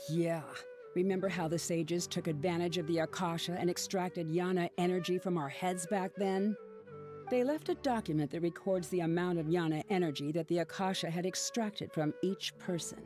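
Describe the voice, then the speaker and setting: A woman's voice: fairly low pitch, normal speed, darkish tone, soft background music, natural voice. A woman voicing a curt, bitter young woman. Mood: resentful. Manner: curt, resentful.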